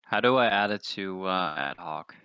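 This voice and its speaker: A man's voice: sits low, animated, moderate pace, pushed and bright, clear, resonant tone, no echo, studio quality. A man playing a tabletop roleplaying game, chatting casually about it.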